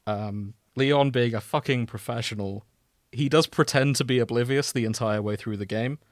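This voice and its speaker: A man's voice: medium pitch, moderate pace, no echo, clean studio sound. A British man explaining things in a casual, wry, informal podcast chat, sometimes sweary. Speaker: podcast host explaining a video game.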